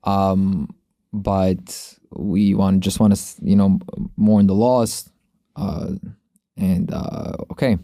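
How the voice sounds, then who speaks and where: A male podcast host with a fairly low, mellow voice. He chats informally and rambles, circling back over his phrases, and the sound is dry and close. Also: fairly even intonation, slow, gravelly, dry recording. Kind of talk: casual sports podcast chat.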